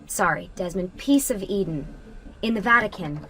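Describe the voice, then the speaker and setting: Focused, matter-of-fact young technician. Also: female voice, mid-range voice, expressive, moderate pace, fairly bright tone, slight background noise, poor audio. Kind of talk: naturalistic video game acting.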